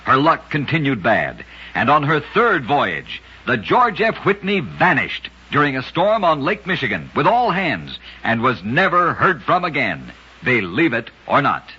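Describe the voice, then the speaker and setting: Bright, husky old-time radio narrator man. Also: mid-range voice, huge pitch swings, highs cut off. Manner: brisk, dramatic storytelling cadence. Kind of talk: scripted radio narration of curious facts.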